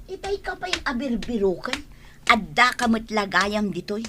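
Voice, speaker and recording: A woman's voice: fairly high pitch, big pitch swings, normal speed, a bit bright, slight background noise. A woman with an Ilocano accent playing a bossy, nagging but lazy office cleaner in a comedy radio drama. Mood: scolding.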